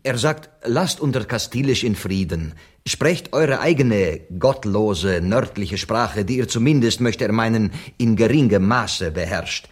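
An actor playing a courteous, patient Spanish interpreter who translates in a measured way in a radio play. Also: male voice, fairly low pitch, animated.

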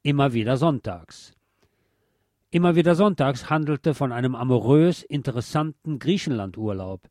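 A man's voice: medium pitch, animated, normal speed, dark tone, no room sound, studio quality. A man presenting a scripted music-history narration in literate, formal wording with a dryly ironic manner. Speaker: host.

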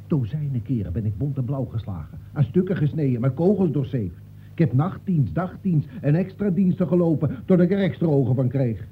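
Actor as blustering, higher-voiced veteran policeman. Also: lively intonation, a little fast, narrow, tinny audio, close to an everyday voice. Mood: indignant. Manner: blustering, self-important. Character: indignant, boastful, seasoned male policeman from a novel. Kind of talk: old radio play acting. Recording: thin, noisy old radio recording.

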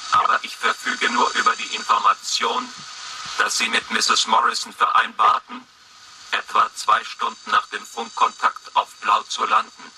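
A man's voice: very high voice, big pitch swings, gravelly, bright, forceful tone, slightly rough, exaggerated voice. A man playing a polite, unemotional, literal-minded robot in a science fiction radio play, speaking formally and stiltedly over a radio link. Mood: regretful, formal. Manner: formal, stilted, measured delivery. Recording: slightly distant radio transmission.

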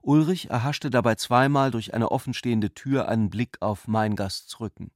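A man's voice: medium pitch, moderate pace, no echo, clean studio sound. A man reading scripted literary narration for a radio play as its storyteller.

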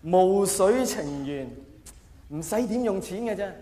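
A man with a Hong Kong accent performing a self-mocking, mock-earnest stand-up comedy monologue in an animated way. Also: sits high, unhurried. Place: large live venue with long reverb. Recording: echoey hall, faint noise.

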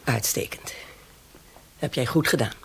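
A woman's voice: very deep voice, unhurried, creaky, husky, full character voice. An actress playing a domineering, scheming middle-class wife who protects her husband, speaking insistently and emphatically in a radio drama. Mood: scornful, conspiratorial.

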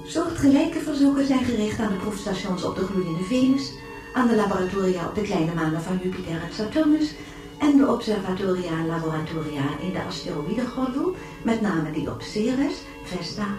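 An actress playing a formal newsreader delivering a scripted emergency bulletin in a radio drama. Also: female voice, lowish voice, natural voice. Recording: very echoey, distant, music behind.

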